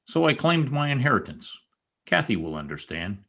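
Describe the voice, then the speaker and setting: A man's voice: mid-range voice, very animated, moderate pace, creaky, fairly bright tone, full character voice. A man playing a bitter, commanding former stable boy turned rich, sardonic, in old-time radio drama style.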